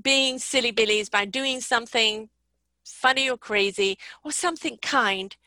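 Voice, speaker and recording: A woman's voice: on the high side, a little slow, sharp tone, dry recording. A woman hosting a spiritual conversation, talking earnestly in long flowing thoughts and laughing often.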